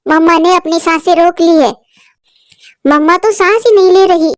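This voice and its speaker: A woman doing a squeaky little boy chick. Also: normal speed, no room sound, studio quality. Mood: childlike. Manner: high, pleading and tearful. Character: small excitable boy bird chick.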